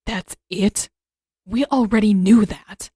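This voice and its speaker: A woman voicing a grumpy, sardonic teenage prince who grumbles and mumbles in a blunt deadpan. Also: mid-range voice, takes it slowly, husky, dry recording, clean studio sound. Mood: exasperated.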